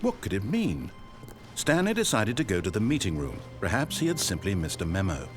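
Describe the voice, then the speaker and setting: A wry, low-pitched game narrator. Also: male voice, wildly swooping pitch. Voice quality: measured, ironic storytelling. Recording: game audio with faint music.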